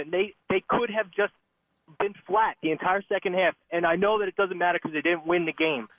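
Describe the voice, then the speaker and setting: A man's voice: sits high, no echo. A man calling a sports radio show by phone, giving casual fan opinions in an earnest, insistent way. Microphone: telephone. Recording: tinny phone line.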